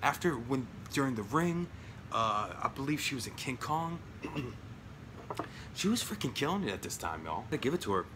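A man in his thirties chatting casually at home about his film collection, rambling with lots of fillers and jokes, his voice going hoarse. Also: mid-range voice, sing-song, normal speed, noisy background. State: losing his voice. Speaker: collection vlogger. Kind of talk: casual home video chat. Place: small room at home, indoors. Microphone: phone or webcam at arm's length.

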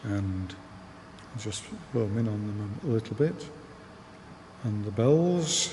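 Northern English man, slow explanatory tour talk, echoing church, low voice. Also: lively intonation, mellow. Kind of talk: unscripted guided tour voice-over. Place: inside a large church. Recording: reverberant church hall, faint background noise.

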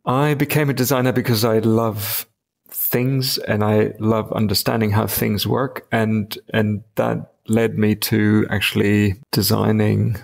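Gravelly German-accented man, hesitant. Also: fairly low pitch, little pitch movement, unhurried. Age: 50s. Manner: hesitant, many restarts and fillers. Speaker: guest designer. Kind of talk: casual interview talk.

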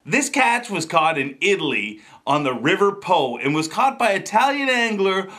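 A man presents fishing news as a comedy host, with a bright, forceful voice and highly animated pitch. He exaggerates his delivery for laughs, leaning on emphatic pauses and tossing in jokey asides. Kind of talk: comedic scripted news commentary to camera.

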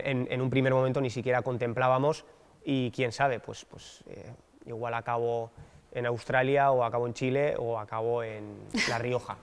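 A man in his thirties, a political candidate, giving thoughtful, hesitant interview answers with many fillers and restarts on a dark studio set. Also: mid-range voice, clear, resonant tone, in a large hall. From Basque Country, Spain.

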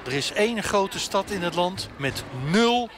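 A man's voice: high-pitched, animated, measured pace. A male radio reporter asking breezy, joking questions in a bowling alley, holding a handheld mic. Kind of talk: on-location radio interview. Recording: on-location radio report, background noise. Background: bowling alley ambience.

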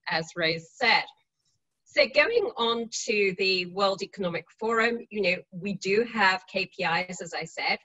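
A woman's voice: medium pitch, sharp tone. A woman with an English accent speaking as a panelist on a video call, chatty and self-deprecating, often restarting her sentences. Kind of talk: panel discussion. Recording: muffled video call audio.

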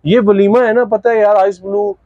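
A young man running a bridal dress shop, showing outfits and pitching prices in a chatty, teasing salesman's way. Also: little pitch movement, normal speed, no echo, highs cut off. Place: busy indoor dress shop.

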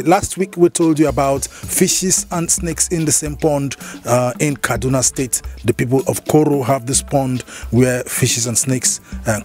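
Chatty Nigerian man hosting, handheld mic. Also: medium pitch. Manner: chatty, with many fillers and sing-song stresses. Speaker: show host. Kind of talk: casual presenting to camera.

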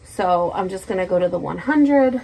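A woman's voice: lowish voice, lively intonation, normal speed, gravelly, darkish tone, husky, low-quality recording. A woman in her thirties chatting casually in a rambling, sweary personal vlog recorded in a room at home. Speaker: vlogger. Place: small indoor room at home.

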